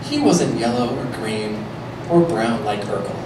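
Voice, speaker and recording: A man's voice: medium pitch, expressive, a little slow. A man doing a live comic storytelling performance, reading and talking to a room from a stage. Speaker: comedic storyteller. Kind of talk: live reading of a mock children's rhyme. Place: live venue stage before an audience. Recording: very echoey, noisy live room, far mic.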